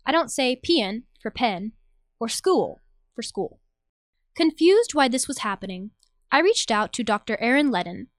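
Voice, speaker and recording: A woman's voice: fairly high pitch, dry recording. A young American woman from Tennessee reading a scripted personal audio essay as its narrator. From Nashville, Tennessee, USA. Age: young adult. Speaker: narrator, journalism student.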